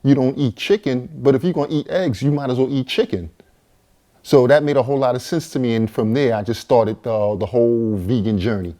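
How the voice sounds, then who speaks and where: A man's voice: mid-range voice, a little fast, warm tone. A man in his forties, a vegan chef and co-author, telling his own story to the camera in a casual, conversational way with fillers and repeated words. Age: 40s. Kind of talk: personal testimony to camera.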